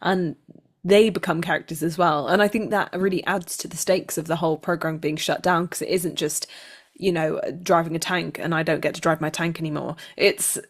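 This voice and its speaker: A woman's voice: sits low, steady tone, fairly quick. A woman speaking casually as an actor being interviewed, reflective and self-deprecating.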